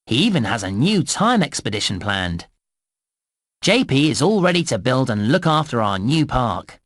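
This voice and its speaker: Animated, cheerful British game guide. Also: male voice, mid-range voice, moderate pace, no room sound, clean studio sound. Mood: upbeat, instructive. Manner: bouncy, clearly enunciated, encouraging. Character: cheerful, eager male theme park guide in a children's game. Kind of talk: scripted children's game narration.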